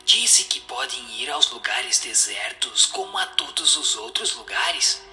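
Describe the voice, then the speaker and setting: Very bright, expressive Brazilian man. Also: medium pitch, unhurried. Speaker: reader of the answers. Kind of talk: scripted, solemn dramatized reading. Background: music.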